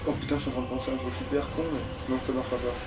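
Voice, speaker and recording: A man's voice: medium pitch, little pitch movement, rapid-fire, loud music behind the voice. A man chatting casually and swearing in slang as he plays a video game, recorded on a slightly distant mic. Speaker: gamer playing while commenting. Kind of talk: casual let's play chat.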